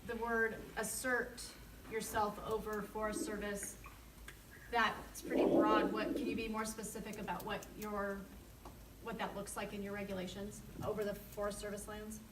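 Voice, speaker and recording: A woman chairing a community meeting, loudly relaying questions to a caller. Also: medium pitch, flat delivery, moderate pace, echoey room, distant mic, very noisy. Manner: loud, relays questions clearly. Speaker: meeting facilitator.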